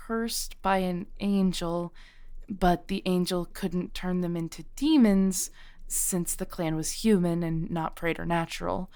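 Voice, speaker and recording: Woman playing an unhurried centuries-old witch shopkeeper. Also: on the low side, a little mellow. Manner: unhurried, pausing, sighing, conversational. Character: centuries-old female witch shopkeeper, patient, knowing, protective. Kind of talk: audio roleplay acting.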